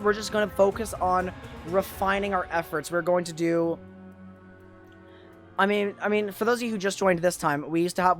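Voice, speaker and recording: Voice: medium pitch, fairly bright tone. A tournament host with a voice wearing out from long talking, chatting casually and appreciatively to viewers on an esports livestream. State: losing voice after two days of talking. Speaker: tournament host and streamer caster.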